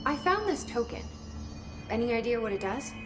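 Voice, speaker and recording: Direct, inquisitive woman with lively pitch. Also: moderate pace, over music. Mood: curious. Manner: direct, questioning, to the point. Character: inquisitive, matter-of-fact woman leader exploring a strange agency. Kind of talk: naturalistic video game dialogue.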